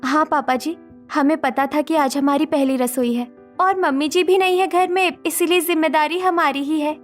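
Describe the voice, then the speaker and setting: Young bride, played by a woman, high-pitched, earnest and plaintive, cartoon family drama, music behind. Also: fairly even intonation, normal speed, close to an everyday voice. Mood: dutiful. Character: yearning, stifled young sister and new bride.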